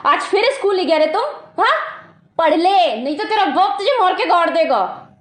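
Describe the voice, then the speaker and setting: A woman's voice: very high-pitched, animated, fast talker. A woman playing a scolding, protective village mother figure, nagging in exclamations. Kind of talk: acted rustic drama scene. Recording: echoey, roomy sound.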